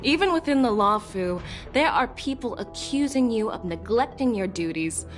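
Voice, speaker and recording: A woman's voice: fairly high pitch, animated, moderate pace, soft background music, close to an everyday voice. A woman voice actor playing a probing, confident female general who questions people formally in a video game. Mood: frank. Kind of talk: scripted video game voice acting.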